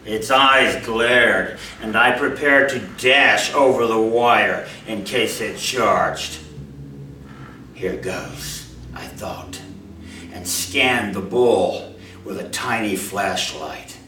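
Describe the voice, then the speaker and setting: A man, a writer speaking to an audience at a live reading in an expressive way. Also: fairly low pitch, extremely slow, slight background noise. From Mobile, Alabama, USA. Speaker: writer reading his own short story. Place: indoor room at a live reading event. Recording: roomy, echoey hall, distant microphone.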